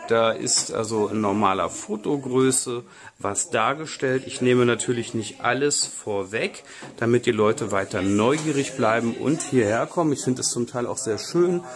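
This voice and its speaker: A man's voice: mid-range voice, moderate pace. Chatty middle-aged man vlogging at arm's length. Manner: chatty, upbeat, addresses viewers directly. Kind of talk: casual vlog commentary to camera. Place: outdoors and inside a busy café. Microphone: selfie camera at arm's length. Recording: crowd chatter behind.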